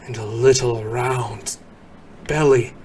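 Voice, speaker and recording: A man giving a dramatic recitation of a poem, theatrical, moving between hushed murmurs and booming exclamations. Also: steady tone, slow pace, a little hiss or hum. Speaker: poem reader.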